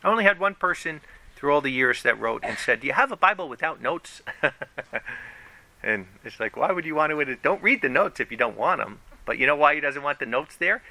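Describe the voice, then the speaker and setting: A man preaching a sermon to his congregation in an animated, conversational way, often raising his voice for emphasis. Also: on the high side, moderate pace, vocal fry, dry recording. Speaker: pastor.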